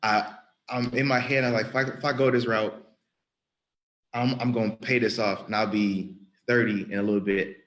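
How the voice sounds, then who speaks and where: A man's voice: on the low side, steady tone, moderate pace, a bit bright, dull audio. Earnest man around thirty testifying. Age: about 30. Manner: earnest, rambling, with frequent restarts and repetition. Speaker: church member giving testimony. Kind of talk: spontaneous spoken testimony.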